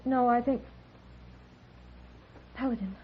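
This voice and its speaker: A woman's voice: a little high, takes it slowly, narrow, tinny audio. An actress playing a dedicated, reserved woman doctor in a 1950s radio Western, restrained and wistful. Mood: wistful, tired. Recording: thin, noisy old radio recording.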